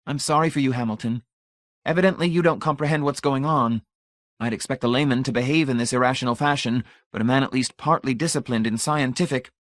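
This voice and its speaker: A smug, preachy technician, voiced by a male narrator in a raised, needling pitch. He lectures with lofty self-righteousness, every phrase sounding like a sermon. The recording is close and dry. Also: fast talker, no room sound, close to an everyday voice. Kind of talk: audiobook character voice.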